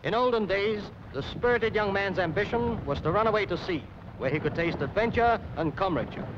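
A man's voice: high-pitched, brisk, background noise. A British man narrating a 1940s recruitment film in a rousing, formal manner. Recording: dull 1940s film soundtrack. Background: music.

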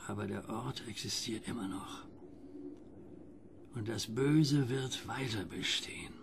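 An actor playing an old, fearful, guilt-ridden neighbour in a radio drama, halting and intense, often sinking toward a whisper. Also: male voice, mid-range voice, gravelly. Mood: grave, regretful. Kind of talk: German radio drama acting. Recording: noisy ambient background.

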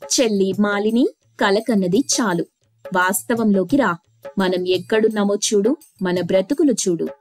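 A woman's voice: mid-range voice, measured pace, darkish tone, faint music behind, voice pushed down, natural voice. A woman voicing a sensible, affectionate young elder sister in an earnest, plain-spoken way for a cartoon story. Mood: scolding.

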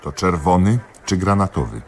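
A man's voice: deep voice, expressive, normal speed, slight background noise. A man acting in a radio drama as a stern, bossy, lecturing police commissioner who is exasperated and pedantic. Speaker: actor in radio play.

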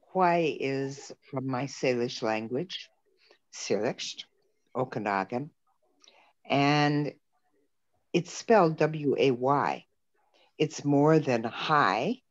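An older woman introducing herself on a video call, speaking earnestly and expressively. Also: a bass voice. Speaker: council member. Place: indoor room. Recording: muffled video call audio.

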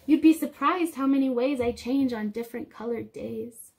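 A young woman reading a picture book aloud to small children, expressive and sing-song, explaining things simply as a teacher would. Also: a little high, normal speed, breathy edge. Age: young adult. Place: small indoor room.

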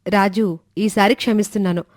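A woman's voice: medium pitch, steady tone, a little fast, no echo, clean studio sound, lightly acted. A woman playing a stern but forgiving mathematics teacher in a children's story. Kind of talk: acted dialogue in a children's moral story.

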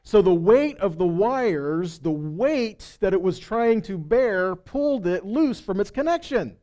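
A man's voice: high-pitched, expressive, measured pace, dark tone. A man in his forties preaching in a folksy, conversational and emphatic way on a headset mic, moving between casual storytelling and scripture reading. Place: indoors on a stage at a congregation hall. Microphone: headset microphone.

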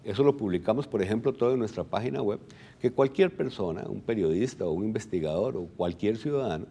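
A middle-aged man with a Costa Rican accent and a low, very mellow voice. He explains in a didactic way as an official interviewed in a TV studio, often checking that he is understood. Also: fast talker, vocal fry, husky. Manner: didactic, poses and answers own questions. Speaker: electoral registry director, interview guest. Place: television studio. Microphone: lapel mic.

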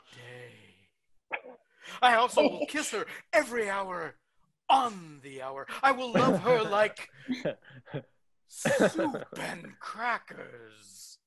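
A performer at a comedy table read playing an eager, clingy, lovesick man who runs a funeral home, with halting, drawn-out emphasis. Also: mid-range voice, fairly bright tone, full character voice. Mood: pleading, lovesick.

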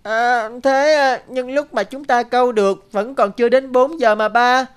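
A woman's voice: a little high, fairly even intonation, normal speed, smooth, lightly acted. A woman voicing an eager, impatient young boy in a children's cartoon, childlike and polite. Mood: disappointed.

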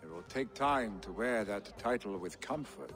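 A man's voice: lowish voice, animated, moderate pace, fairly bright tone, faint music behind. An actor playing a grave male clan leader in a video game who formally swears friendship between two families. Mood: earnest. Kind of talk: video game cutscene acting.

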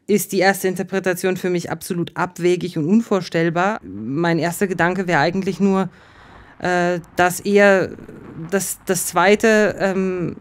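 A woman giving a reflective interview, halting and searching for words as she recalls the past. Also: fairly low pitch, steady tone. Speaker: interviewee, former casting show contestant.

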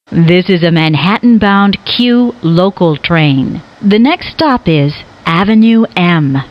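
A woman giving recorded train announcements in a measured, formal way. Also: on the low side. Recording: dull, noisy recorded transit announcement.

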